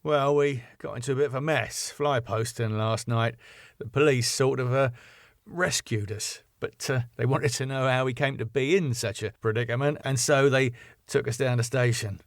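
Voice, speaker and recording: A weary, sheepish sitcom club promoter, acted by a male reader. He talks evasively and haltingly, close and dry on the recording. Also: mid-range voice, fairly even intonation, dry recording, pitched below the performer's own voice, close to an everyday voice. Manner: hesitant, halting. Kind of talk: sitcom script reading.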